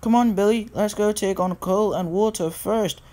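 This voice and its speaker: A man's voice: sits high, moderate pace, mellow, voice pushed up, close to an everyday voice. A man voicing a cheery, patient young tank engine in a children's story, pleading and scolding. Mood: urging.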